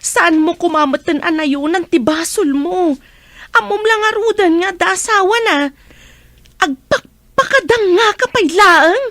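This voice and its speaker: A woman's voice: very high-pitched, lively intonation, measured pace, a bit bright, no room sound, full character voice. An actress with a Filipino accent playing a blunt, exasperated young woman scolding her best friend in a radio drama.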